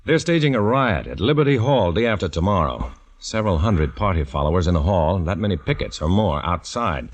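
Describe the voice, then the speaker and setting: A deep, hard-boiled undercover narrator. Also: male voice, big pitch swings, brisk. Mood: tense. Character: undercover man posing as a communist, tense and wry. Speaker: narrator and lead. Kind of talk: 1950s radio drama narration. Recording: old radio broadcast with music bed.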